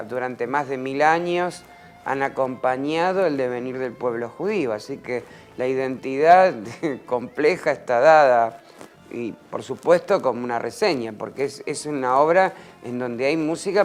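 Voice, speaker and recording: A man speaking in an interview, explaining his ideas in an articulate, even way. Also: medium pitch. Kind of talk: reflective interview talk.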